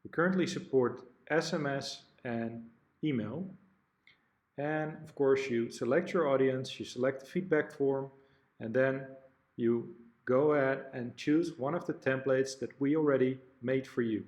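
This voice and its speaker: A man narrating a step-by-step software demo, explaining each screen in turn with the odd restart. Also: medium pitch, a little slow. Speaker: product demo presenter.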